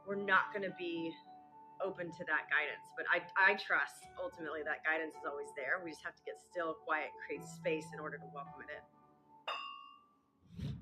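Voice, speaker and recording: A woman with a very low voice speaks softly and calmly as a podcast guest. Music plays clearly behind her voice. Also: normal speed, poor audio.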